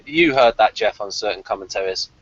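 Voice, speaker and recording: A man's voice: fairly low pitch, animated, brisk, a bit bright, lo-fi sound. A man hosting a casual fan review, chatty and rambling as he thinks aloud. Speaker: host of a TV review discussion.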